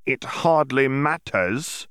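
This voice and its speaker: A man's voice: medium pitch, lively intonation, slow pace, fairly bright tone, smooth, dry recording, clean studio sound, pitched above the performer's own voice. A man voicing a smug, brusque middle-aged Auror chief who speaks with sneering authority.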